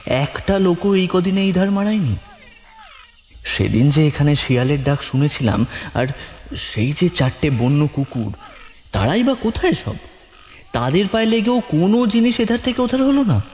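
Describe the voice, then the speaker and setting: A man's voice: high voice, sing-song, moderate pace, darkish tone, faint music behind. A man playing a frightened villager in a horror audio drama, muttering anxiously to himself in a trembling way. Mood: uneasy wonder. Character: frightened male villager alone at a haunted marsh at night.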